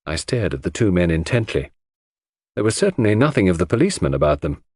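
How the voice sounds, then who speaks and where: Animated male audiobook narrator, dry sound. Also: low-pitched, fast talker, breathy edge, no room sound. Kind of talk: scripted narration of a classic detective story.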